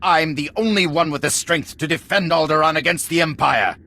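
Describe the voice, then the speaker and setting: Forceful, defiant would-be king. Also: fairly high pitch, fairly quick, vocal fry, slight background noise. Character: defiant, proud would-be king, self-righteous villain. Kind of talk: video game cutscene acting.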